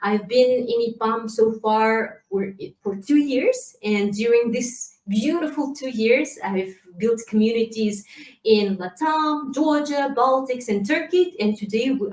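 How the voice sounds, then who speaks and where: A woman with a slightly high voice gives a lively, casual conference presentation, chatty and full of fillers. She is heard from a distance in a roomy space through a laptop mic. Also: a little slow. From Kazakhstan. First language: Kazakh. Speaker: community manager giving a talk.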